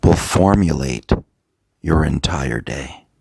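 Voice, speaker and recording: A man with an extremely low, creaky voice leads a guided meditation as a coach. He speaks slowly and deliberately, leaving long pauses between short phrases. The gravelly, husky sound is captured close and dry. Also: expressive, a little mellow, no echo. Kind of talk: guided meditation, soft motivational talk.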